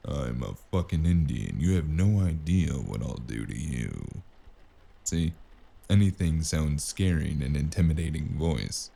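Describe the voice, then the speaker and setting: A male actor playing a cynical, wisecracking investigator in a noir audio drama, with a gravelly voice and a dry, menacing delivery. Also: rumbling low, animated, unhurried, warm tone, heavily acted. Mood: dry menace. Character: cynical, wisecracking hardboiled spacer investigator with magic. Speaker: voice actor playing the lead and narrator.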